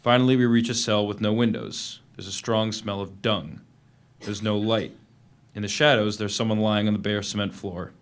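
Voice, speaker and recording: A man's voice: on the low side, natural voice. An actor playing a guarded, defiant prisoner, narrating his journal tersely in a dramatic reading of a novel. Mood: guarded, tense. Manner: plain, guarded, terse storytelling in present tense.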